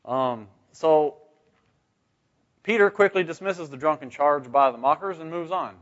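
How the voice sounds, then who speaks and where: A man with a fairly high voice leads an informal Bible study. He talks conversationally, often turning to the group with questions. The recording is a little dull and lo-fi. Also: measured pace. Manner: conversational, rhetorical questions, asks "right?". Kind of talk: informal scripture teaching to a group.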